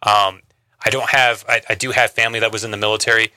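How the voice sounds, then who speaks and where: A male sailor from Montana with a low, level voice that is crisp and very bright. It is recorded dry and close, and he speaks from the heart with small stumbles. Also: flat delivery, no echo. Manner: heartfelt, slightly halting. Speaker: Navy sailor guest. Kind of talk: radio interview.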